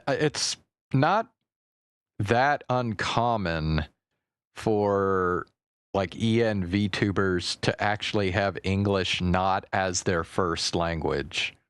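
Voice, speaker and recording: Slow, deep-voiced man chatting hesitantly. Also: clear, resonant tone, no echo, studio quality. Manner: slow and hesitant, with many likes and restarts. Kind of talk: casual chat.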